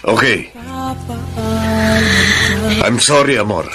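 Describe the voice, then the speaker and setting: A man's voice: fairly low pitch, slow. A man playing a conflicted, unfaithful husband in a Filipino radio drama. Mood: apologetic. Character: unfaithful husband, conflicted, torn between wife and mistress. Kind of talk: Filipino radio drama acting with confessional narration. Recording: dramatic music behind the voice.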